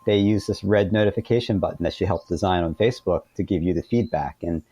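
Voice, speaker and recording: A man's voice: sits low, fast, dark tone, slightly rough, dry recording. A man explaining things conversationally as an interview guest, hesitantly, often repeating words and saying "you know". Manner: hesitant, with frequent 'you know' and repeated words.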